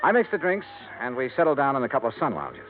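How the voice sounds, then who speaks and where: A man's voice: mid-range voice, wildly swooping pitch, fast. An American man playing a shrewd, wry, hardboiled insurance investigator with clipped delivery in a radio drama. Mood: smooth, casual. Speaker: lead actor and narrator. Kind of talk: hardboiled golden age radio drama acting. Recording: muffled vintage radio recording, music behind.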